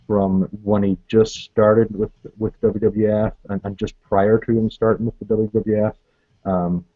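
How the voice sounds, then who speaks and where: A man co-hosting a casual chat, talking haltingly and repeating words as he starts. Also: fairly low pitch, no echo. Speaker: podcast co-host. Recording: dry, dull lo-fi audio.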